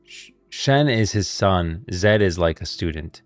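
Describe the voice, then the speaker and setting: Low-voiced man, casual livestream rambling. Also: animated, measured pace, dry recording, faint music behind. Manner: rambling, informal, thinking aloud. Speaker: streamer. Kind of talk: casual livestream lore commentary.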